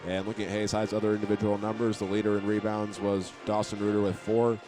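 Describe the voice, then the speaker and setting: A man working as a play-by-play announcer, recapping a game in radio commentary style and sometimes halting to correct himself. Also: fairly low pitch, completely flat delivery, music in the background.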